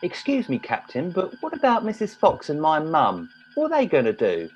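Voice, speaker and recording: A man's voice: fairly high pitch, wildly swooping pitch, normal speed, breathy edge, muffled recording, faint music behind, full character voice. A man playing a whiny, eager, naive teenage lad in an amateur comedy radio play. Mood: timid, earnest.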